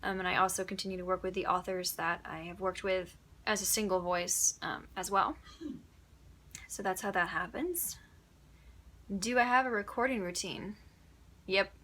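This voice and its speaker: A woman's voice: medium pitch, steady tone, normal speed, pauses often, a bit bright. A young woman from upstate New York, an audiobook narrator, chatting through Q&A answers with plenty of ums and little laughs. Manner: chatty, giggly, many ums. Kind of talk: casual Q&A answers.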